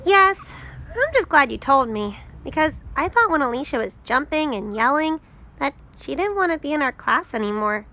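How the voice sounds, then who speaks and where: A woman's voice: high-pitched, sing-song, moderate pace, sharp tone, a little hiss or hum, voice pushed up, full character voice. A woman voicing a curious, eager young schoolgirl in a storybook, wide-eyed and full of questions. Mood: earnest. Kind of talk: storybook read-aloud character voice.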